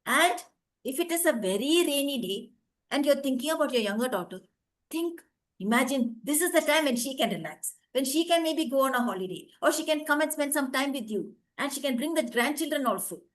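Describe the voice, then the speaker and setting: A woman voicing a kind, patient male priest, giving calm, reassuring advice. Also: sits high, brisk. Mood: soothing. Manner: gentle, reassuring, advising.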